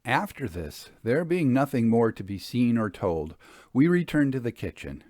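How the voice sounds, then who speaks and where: Man playing a droll Victorian gentleman ghost hunter. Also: medium pitch, moderate pace, no echo, clean studio sound, close to an everyday voice. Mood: eager, genial. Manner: formal, droll, theatrically expressive. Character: wistful, genial Victorian gentleman ghost hunter narrating his travels. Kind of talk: dramatic first-person storybook reading.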